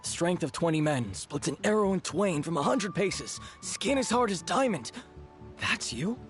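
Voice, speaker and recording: An actor playing a polite, dutiful and deferential resistance officer in a video game, speaking expressively. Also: male voice, high voice, big pitch swings, a little slow, music in the background. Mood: amazed. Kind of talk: scripted video game dialogue.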